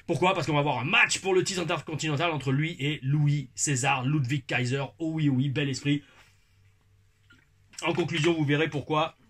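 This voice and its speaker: A man in his forties hosts a casual video review, talking in an exuberant, rambling way full of repeated catchphrases. His voice is bright and edged, a little husky, and sits somewhat high for a man. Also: fairly even intonation, normal speed. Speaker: wrestling review host.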